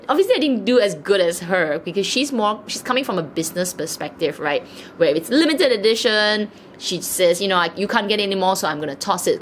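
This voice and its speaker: A woman's voice: on the low side, a little hiss or hum. A woman from Malaysia, chatting casually as she answers viewer questions, laughing often between thoughts. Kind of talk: casual Q&A chat.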